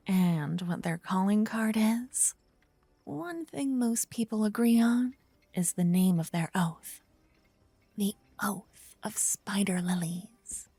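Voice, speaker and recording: A woman playing an earnest, bashful young female paladin in an affectionate fantasy ASMR roleplay, speaking softly and gently to the listener. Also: mid-range voice, dry recording. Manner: soft, breathy, gently flustered, slightly halting.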